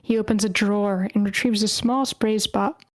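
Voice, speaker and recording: A woman narrates a screenplay at a table read, delivering stage directions in short phrases. Her pitch is mid-range and the sound is close and dry, with very little room. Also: fairly even intonation, normal speed, no room sound.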